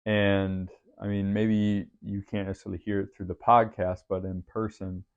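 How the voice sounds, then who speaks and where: Deep-voiced earnest man, muffled podcast. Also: little pitch movement, normal speed, smooth. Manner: earnest, faith-based, thoughtful. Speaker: podcast host. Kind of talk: reflective solo podcast monologue.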